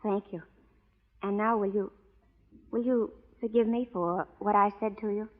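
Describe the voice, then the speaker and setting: An actress playing a gentle, earnest young schoolmarm in a 1930s radio western, speaking softly and hesitantly. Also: female voice, mid-range voice, fairly even intonation, measured pace, close to an everyday voice. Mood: tender, pleading. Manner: soft, hesitant. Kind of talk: 1930s radio drama acting. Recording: muffled old radio broadcast.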